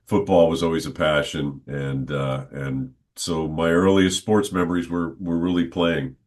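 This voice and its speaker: A man in his sixties, a guest on a podcast, telling stories from his childhood in an unhurried, conversational way. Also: extremely low pitch, fairly even intonation. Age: 60s. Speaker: interview guest, stadium announcer. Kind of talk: relaxed reminiscing conversation.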